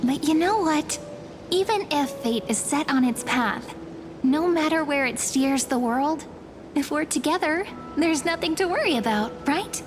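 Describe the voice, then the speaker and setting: A serene, teasing young woman from a fantasy game. Her voice is high and soft, and she takes her time over every phrase, with music playing behind her. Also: expressive, measured pace. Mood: tender, wistful. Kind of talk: video game voice acting. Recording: background music under the voice.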